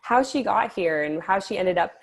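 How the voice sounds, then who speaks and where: A woman's voice: mid-range voice, expressive, normal speed, creaky, a little hiss or hum. A woman hosting a podcast, reading a welcome and then interviewing in an encouraging way, with fillers. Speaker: podcast host.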